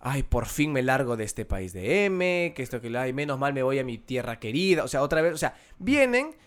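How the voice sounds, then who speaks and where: A man with a Peruvian accent, co-hosting a casual chat and talking in an animated, irritated way with many restarts. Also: medium pitch, a little fast. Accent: Peruvian Spanish. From Peru.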